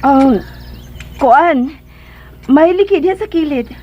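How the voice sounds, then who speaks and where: A woman's voice: high voice, lively intonation, slow. A woman acting a timid, obedient young servant in a radio drama, with a Cebuano Filipino accent. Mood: hesitant, curious. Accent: Filipino, Cebuano-speaking. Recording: dull band-limited audio, loud music behind.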